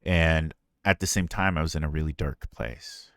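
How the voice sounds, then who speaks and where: A man with a very deep natural voice telling stories casually on a livestream, candid and self-deprecating in a conversational way. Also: moderate pace, vocal fry, fairly bright tone, clean tone, no echo, clean studio sound. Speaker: streamer and ASMR roleplay voice performer answering a Q&A.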